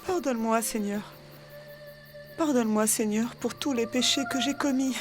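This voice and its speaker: Female actor as pious, sorrowful woman in TV melodrama, high voice, slow and imploring, soft music behind. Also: lightly acted. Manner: imploring, solemn. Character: devout, sorrowful woman praying for mercy.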